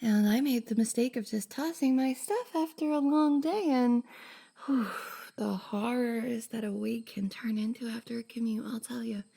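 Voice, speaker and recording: A woman playing a shy, bubbly young cosplayer and affectionate best friend in an intimate roleplay ASMR, soft, hesitant and giggly. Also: a little high, normal speed. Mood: embarrassed, relieved. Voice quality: soft, breathy, slightly husky. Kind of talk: F4M roleplay ASMR, intimate one-sided conversation.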